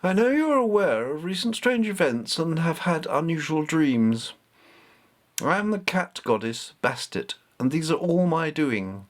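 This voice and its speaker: A man's voice: fairly high pitch, very animated, measured pace, pitched above the performer's own voice. A man voicing an ancient female cat goddess who speaks in a formal, commanding way.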